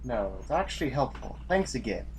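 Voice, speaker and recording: A brooding, battle-weary male general acted by a man in an audio drama. He speaks at a medium pitch with the authority of command. Noise sits behind the voice. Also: big pitch swings, brisk. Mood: somber.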